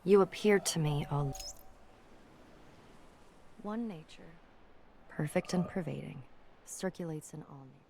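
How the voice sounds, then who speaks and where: A woman reading quotations for game audio logs in a deliberate, contemplative manner. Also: sits low, creaky, mellow, a little hiss or hum.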